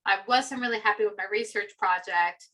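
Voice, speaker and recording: A woman's voice: mid-range voice, brisk, bright, forceful tone. A middle-aged woman from Peru, a philosophy professor, chatting reflectively about her path with many fillers. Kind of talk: conversational personal story.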